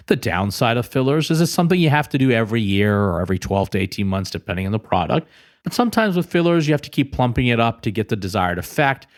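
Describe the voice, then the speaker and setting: An American man speaking as a doctor and podcast host, explaining in a conversational, emphatic way and addressing the listener directly. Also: lowish voice. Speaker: plastic surgeon hosting a podcast. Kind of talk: conversational patient-education explainer.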